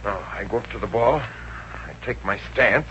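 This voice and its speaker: A man's voice: fairly low pitch, normal speed, bright, forceful tone. A man playing a boastful, easily rattled golfer who blusters in exasperation in an old radio comedy. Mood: strained confidence. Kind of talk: 1950s American radio comedy acting. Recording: dull, hissy 1950s radio recording.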